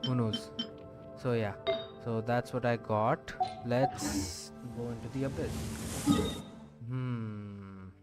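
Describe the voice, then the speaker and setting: Slow-paced man rambling over game music. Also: mid-range voice, steady tone. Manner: rambling, thinking aloud, hesitant pauses. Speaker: gaming streamer. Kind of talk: casual gameplay commentary. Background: loud game music.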